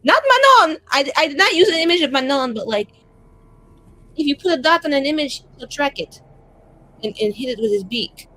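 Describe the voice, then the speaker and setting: A woman's voice: high-pitched, lively intonation, a little fast, pushed and bright, voice pushed up, poor audio. A woman playing a mischievous, casual character in a tabletop game, chatty and playful in a rambling way. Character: mischievous, casual woman who trains attack pigeons.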